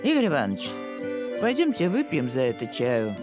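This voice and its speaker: A woman's voice: very deep voice, wildly swooping pitch, moderate pace, exaggerated voice. A woman playing an exasperated, no-nonsense village woman in a cartoon, decisive and theatrical. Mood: firm, relieved. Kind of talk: animated cartoon acting. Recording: music behind the voice.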